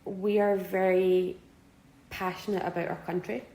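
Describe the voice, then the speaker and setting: Creaky Scottish woman in her twenties. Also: on the low side, flat delivery, measured pace, dark tone, reverberant. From Scotland. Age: 20s. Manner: hesitant, many fillers and restarts. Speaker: interviewee. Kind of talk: casual interview answers.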